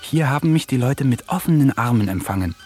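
A man's voice: medium pitch, expressive, brisk, a little mellow, faint music behind, natural voice. An actor playing an eccentric young poet who narrates his diary in a dry, ironic manner in a radio play. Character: eccentric young male poet, wants to talk with animals.

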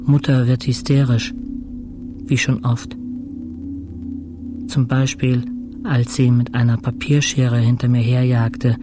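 An actor playing a bedridden, introspective intensive-care patient in a radio drama monologue, speaking in measured, fragmentary short phrases. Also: male voice, mid-range voice, monotone, over music, close to an everyday voice. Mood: quiet, remembering.

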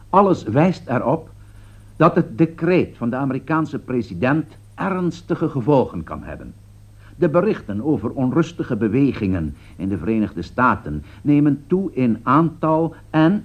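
Grave radio commentator, dramatically varied pitch. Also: male voice, sing-song, a little slow. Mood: grave, foreboding. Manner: solemn, formal, rhetorical. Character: grave male radio political commentator foretelling catastrophe. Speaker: political commentator. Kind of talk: scripted radio commentary in a radio play. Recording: dull, lo-fi radio play recording.

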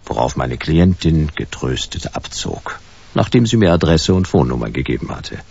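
A world-weary private detective in a sci-fi noir radio play. The voice is very low and gravelly, swinging widely between dry, clipped irony and drawn-out phrases. The recording is slightly dull. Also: male voice, very animated, darkish tone, full character voice. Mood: laconic narrating. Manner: laconic, dry, sardonic. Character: world-weary, wry hard-boiled male private detective. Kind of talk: German radio play noir acting and first-person narration.

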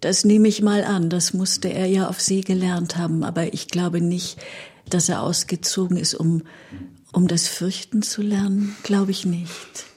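A woman with a husky, breathy voice, reflecting and reading in an unhurried way. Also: on the low side, steady tone, a little mellow.

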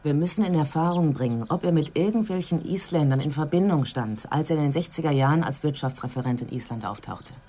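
A woman's voice: low-pitched, a little fast, few pauses, mellow. An actress playing a purposeful woman probing for information in a German radio drama.

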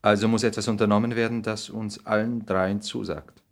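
A very low-voiced, worldly lord played by a man in a classic radio drama. He speaks with urbane polish and an arrogant, condescending cynicism. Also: flat delivery, fairly quick, lightly acted. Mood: smooth, insistent. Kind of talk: 1960s German radio play acting.

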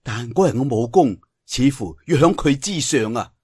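A man voicing a clever, composed young heroine in a martial arts story, speaking softly and knowingly with a Cantonese accent. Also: mid-range voice, expressive, normal speed, breathy edge. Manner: soft, knowing, teasing.

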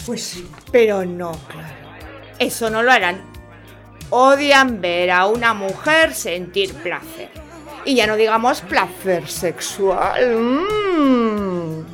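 A woman playing an excitable, outspoken member of a bawdy women's gathering in comic audio theatre, exclaiming and giggly. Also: mid-range voice, slow, soft background music. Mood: indignant, teasing. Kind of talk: comic ensemble audio theatre, chatty banter.

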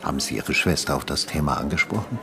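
An actor playing an older retired homicide detective in a radio drama, questioning soberly and patiently in short, measured sentences. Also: male voice, a bass voice, lively intonation, gravelly, a crowd in the background, exaggerated voice. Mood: insistent, attentive. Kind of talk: naturalistic German radio drama acting.

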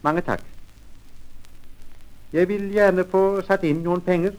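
A man reading scripted textbook dialogue politely and formally, clearly enunciated, on a vintage language record. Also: sits high, steady tone, darkish tone, rough recording. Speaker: language course dialogue reader.

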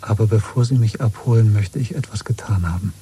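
An actor playing a weary, bitter, quietly defiant dissident in a radio drama, husky and intimate, speaking slowly and broodingly. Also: male voice, on the low side, a little hiss or hum, close to an everyday voice. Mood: intense, hushed resolve. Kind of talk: 1970s German radio play acting.

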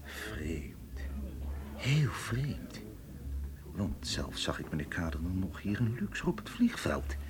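A man's voice: sits low, big pitch swings, takes it slowly, long pauses, a crowd in the background, exaggerated voice. A man playing a courteous but suspicious police inspector in a radio drama, formal and politely insistent. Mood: suspicious, probing. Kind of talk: Dutch radio play acting.

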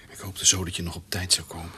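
An actor playing a gentle, grieving male doctor in a radio drama. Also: low voice, flat delivery, fast talker, slight background noise. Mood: sorrowful.

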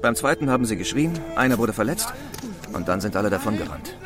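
A man's voice: on the low side, sing-song, fast, over music, crowd noise. An actor in a radio play playing a stern, agitated policeman with a clipped, official manner. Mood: stern, urgent. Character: stern, agitated policeman guarding a cordoned street.

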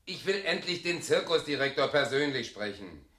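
A man's voice: a little high, big pitch swings, normal speed, a bit bright. An actor playing an irritable, insistent male press visitor at a circus in a radio play. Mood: irritated impatience. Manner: insistent, demanding. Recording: roomy sound, faint crowd.

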